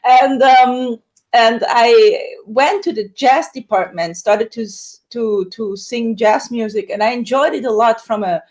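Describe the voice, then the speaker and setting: High-pitched woman. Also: unhurried. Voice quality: high dramatic coloratura soprano voice. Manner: animated storytelling with acted quotes and laughs. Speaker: singer and composer interviewed. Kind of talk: casual interview chat.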